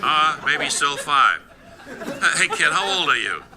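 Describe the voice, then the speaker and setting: A dim, hesitant father calling in, played by a man on a radio comedy show. The voice is extremely sharp and slightly high, and he sounds vague and muddled. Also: sing-song, measured pace, exaggerated voice, poor audio. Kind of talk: comedy call-in sketch.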